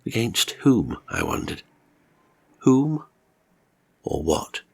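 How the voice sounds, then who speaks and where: A man's voice: low voice, huge pitch swings, takes it slowly, creaky, husky. A man reading a first-person horror story as scripted narration, dramatic and suspenseful in his delivery. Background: music.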